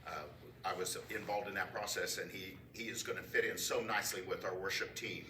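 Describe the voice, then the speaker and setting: A man reading out a committee statement at a church council meeting, upbeat, with pauses between phrases. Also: sits low, steady tone, sharp tone. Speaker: committee member giving a report. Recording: echoey room, mic far off, faint noise.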